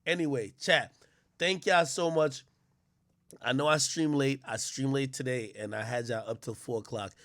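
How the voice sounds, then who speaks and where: A man's voice: medium pitch, moderate pace, a bit bright, dry recording, studio quality. A man live-streaming, chatting loosely and profanely straight to his viewers. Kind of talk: casual live-stream chat.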